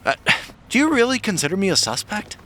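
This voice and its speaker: An actor playing an awkward, wry young man in an audio drama, hesitant and deadpan in his wording. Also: high voice, quick pace, slight background noise. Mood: wry, puzzled.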